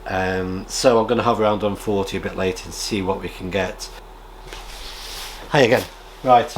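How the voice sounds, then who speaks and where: Low-pitched British man, halting and casual. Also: steady tone, slight background noise. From United Kingdom. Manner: halting, frequent throat clearing. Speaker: amateur radio vlogger and presenter. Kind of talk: casual rambling chat, reading out viewer comments.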